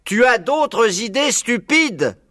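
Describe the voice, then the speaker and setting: Tiny round-bellied farmer, acted by a male reader: cheeky, scornful, raised high voice, storybook villain. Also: lively intonation, moderate pace, no room sound, clean studio sound. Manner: sneering, shouting. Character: insolent little pot-bellied male farmer.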